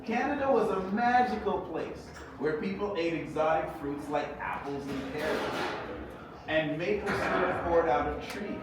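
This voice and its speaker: Slow echoey man reading a storybook. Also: high voice. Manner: expressive, dramatic pauses. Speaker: author reading own book. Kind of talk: children's storybook reading aloud, theatrical. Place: echoey indoor event space. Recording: echoey room, distant mic, noisy background.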